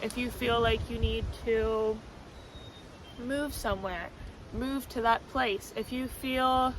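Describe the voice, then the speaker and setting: Loud-voiced woman vlogging in wind. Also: on the high side, fairly even intonation, slow, poor audio. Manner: rambling, many fillers and "like". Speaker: spiritual vlogger. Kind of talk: casual spiritual talk to camera. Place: outdoors on a windy scenic spot. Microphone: camera mic, no external microphone. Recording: windy outdoor camera audio.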